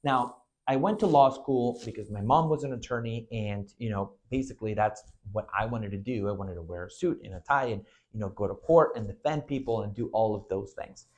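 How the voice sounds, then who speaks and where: A man's voice: fairly low pitch, a little fast, few pauses, a little mellow. A man from Venezuela in his twenties, an agency owner speaking earnestly in a recruitment video, with frequent "you know" and "right" fillers.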